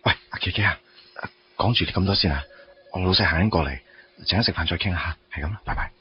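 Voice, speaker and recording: An actor playing a wry, teasing office worker in a Cantonese radio drama, with a Hong Kong accent and a casual, grumbling delivery. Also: male voice, sits low, fast talker, highs cut off, background noise, close to an everyday voice. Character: wry, teasing male office worker meeting his first love.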